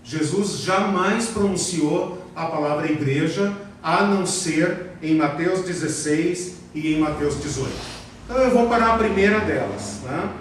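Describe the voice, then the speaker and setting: A man's voice: on the high side, a little slow. A man with a Brazilian Portuguese accent teaching a theology class, often tagging questions onto his sentences and repeating words for emphasis. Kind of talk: classroom lecture. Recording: very echoey room, voice far from the mic, faint noise.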